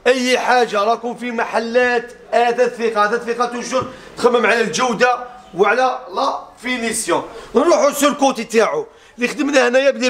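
A man in his thirties with an Algerian accent, mixing in French words as he presents a sofa set in a small furniture showroom with an upbeat, laughing sales pitch. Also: fairly bright tone. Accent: Algerian Arabic with French mixed in. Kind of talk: casual product showcase video.

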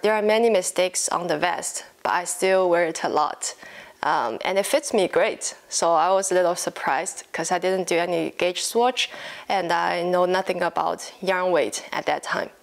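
A woman's voice: lowish voice, normal speed, gravelly. A woman in her twenties from China, with a Chinese accent, chatting casually and a little hesitantly to camera as a podcast host. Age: 20s. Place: living room at home, indoors.

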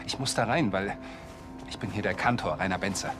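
An actor playing an anxious, polite and hesitant church cantor in a police comedy series. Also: male voice, on the low side, fairly quick, music in the background, natural voice. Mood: worried.

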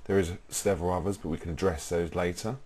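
A young British man presenting a software tutorial, explaining informally step by step in a husky voice. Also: low voice, fairly even intonation, fast talker. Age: young adult.

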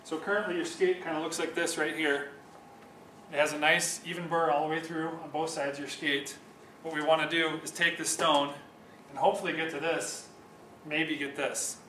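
A young man with a bright, fairly high voice explains a hands-on task, calm and step by step. He sounds set back from the camera microphone. The large room around him makes his voice ring with echo. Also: normal speed, far from the mic, a little hiss or hum. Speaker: speed skating sharpening instructor. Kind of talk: instructional demonstration. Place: large office room with whiteboard and windows. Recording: echoey room, voice from off-mic.

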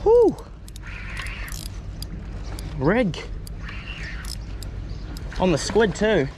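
A man's voice: high voice, extremely slow, background noise. A young man with a New Zealand accent, chatting casually while fishing from an outdoor wharf. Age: young adult. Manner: laid-back, chatty, excitable when hooking fish. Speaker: fishing vlogger. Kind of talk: casual vlog commentary. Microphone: camera-mounted mic, outdoors. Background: music.

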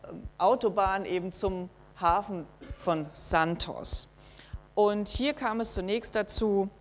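A middle-aged woman lectures from slides in a lecture hall, at a middling pitch for a woman. She speaks in a measured way, pausing often with small fillers as she explains. The tone is academic and explanatory. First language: German. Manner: measured, thinking aloud with frequent fillers. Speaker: university lecturer.

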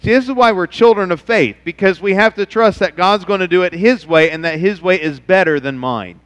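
Middle-aged pastor preaching from the stage, smooth and resonant, long church reverb. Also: male voice, sits high, brisk. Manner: animated preaching, acts out God's voice in quotes. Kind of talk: sermon.

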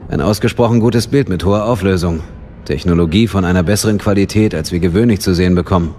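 An actor playing a dutiful, respectful intelligence captain, formal and factual, in a radio drama. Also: male voice, low voice, quick pace, a little mellow, a little hiss or hum, natural voice.

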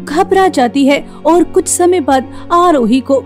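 Lady reading a horror story, very high soft-toned voice, slow pace, faint music. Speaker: story narrator. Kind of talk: scripted horror story narration.

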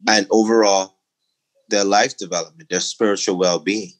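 A middle-aged Bahamian man speaking in a low voice during an interview. He builds his points emphatically, lifting his pitch into a preaching lilt as he lists examples and makes his case. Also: fairly even intonation, moderate pace, low-quality recording. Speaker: interview guest. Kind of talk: conversational interview.

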